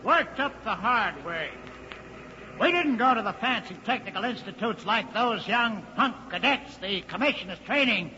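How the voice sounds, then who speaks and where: A man's voice: high-pitched, lively intonation, normal speed, pushed and bright, heavily acted. A man acting a bitter older road engineer who harangues his fellow workers with scorn, in 1950s radio drama style. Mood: scornful, rousing. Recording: muffled 1950 radio broadcast, hall reverb, faint noise.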